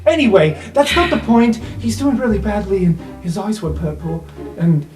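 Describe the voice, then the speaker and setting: A woman's voice: medium pitch, animated, moderate pace, over music, lightly acted. A woman voicing a flustered, insistent young male rabbit-folk messenger who stammers earnestly in a tabletop game. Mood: defensive, urgent.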